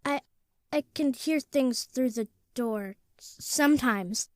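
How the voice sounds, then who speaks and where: A woman playing an innocent, excitable little boy in an old-time radio drama, with a childlike, earnest delivery. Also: high-pitched, dry recording, studio quality. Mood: sheepish. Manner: childlike, earnest, halting when confessing.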